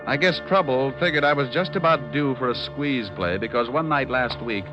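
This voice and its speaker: An actor playing a world-weary private detective, narrating with slangy deadpan wisecracks in an old radio drama. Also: male voice, medium pitch, moderate pace, close to an everyday voice. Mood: wry, self-deprecating. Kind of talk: first-person narration, 1940s-50s radio detective drama. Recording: dull old radio recording, music bed.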